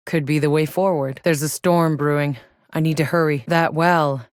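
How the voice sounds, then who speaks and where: A woman's voice: low-pitched, steady tone, normal speed, dry recording, clean studio sound, natural voice. A woman playing a tough, sardonic, battle-hardened soldier in a sci-fi video game, with a wry and blunt way of talking. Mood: matter-of-fact, focused.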